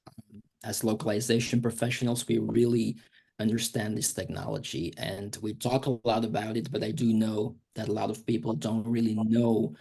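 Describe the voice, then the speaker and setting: Belgian guy explaining stuff as a guest, flat delivery, dark mellow voice. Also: on the low side, creaky, slightly rough. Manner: measured, explanatory. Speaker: guest expert. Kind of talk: interview answers.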